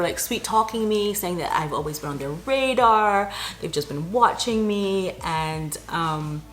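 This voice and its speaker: A woman from Barbados telling a personal story to camera as a vlogger, in an animated, chatty and emphatic way. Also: fairly low pitch.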